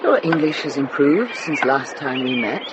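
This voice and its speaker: An actress playing a commanding older nun in a radio drama, brisk and dryly wry, kindly underneath. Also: female voice, a bass voice, expressive, dull audio. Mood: calm, firm. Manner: brisk, dry, authoritative. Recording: noisy ambience behind dialogue.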